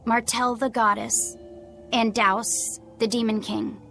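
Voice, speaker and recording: A woman's voice: on the high side, level tone, takes it slowly, gravelly, a bit bright, faint music behind, exaggerated voice. A woman voicing an innocent, bookish young boy spirit in a video game, earnest and hesitant. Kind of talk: video game cutscene acting.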